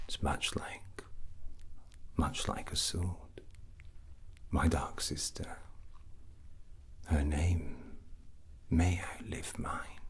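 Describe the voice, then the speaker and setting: A man playing a drunken, brooding, scheming fantasy prince in a whispered ASMR roleplay, halting and musing with a breathy, hushed voice. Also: a bass voice. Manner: slow, halting, musing, with long pauses.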